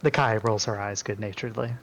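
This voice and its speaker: A man's voice: fairly low pitch, fairly even intonation, quick pace, fairly bright tone. A man reading scripted story narration in a group dramatic reading.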